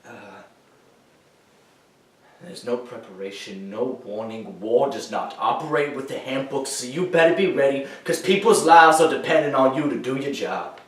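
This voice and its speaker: A man's voice: mid-range voice, natural voice. Echoey, distant young man playing a haunted war veteran. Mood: anguished. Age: teenager or young adult. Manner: halting, swinging from hushed to loud, emphatic. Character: troubled male war veteran, haunted, proud, grieving. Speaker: high school dramatic interpretation competitor. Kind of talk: theatrical dramatic monologue. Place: echoey indoor room, recorded from far off. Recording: echoey room, distant microphone.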